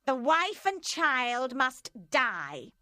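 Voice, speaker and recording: A woman voicing a cold, vengeful female revolutionary in an audiobook, speaking slowly and emphatically. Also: high-pitched, sing-song, pushed and bright, no echo, clean studio sound, voice pushed up, heavily acted. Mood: ominous.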